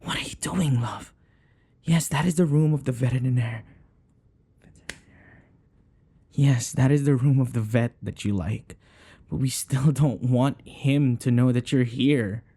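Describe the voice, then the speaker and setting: A man playing a possessive, obsessive dating-game character in an intimate ASMR roleplay, softly coaxing with endearments, sighs and whispers. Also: medium pitch, normal speed, slightly rough. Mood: anxious, pleading.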